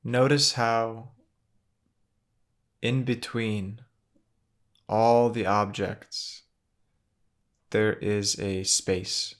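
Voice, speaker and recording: A man with a low voice guides a meditation at a very slow pace. He speaks gently and in a measured way, leaving long silences between short phrases. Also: fairly even intonation.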